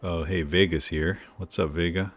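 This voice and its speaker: A man streaming a game live, chatting casually with viewers, with a smooth radio-like voice and a slightly stuffy nose from allergies. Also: sits low, moderate pace, soft background music. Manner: relaxed, chatty, greets viewers by name. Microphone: decent studio microphone.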